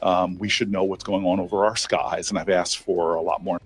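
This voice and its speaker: A man with a very low voice speaks in a measured, concerned way. His clip is played back during a livestream, with faint music underneath. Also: fairly even intonation, breathy edge. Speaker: politician in news clip. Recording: news clip played through a stream.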